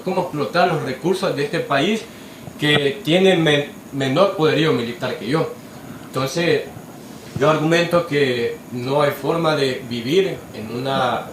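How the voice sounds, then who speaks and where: Guy arguing his point on a church panel, bit higher voice, echoey room. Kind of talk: casual discussion. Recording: echoey room with faint background noise.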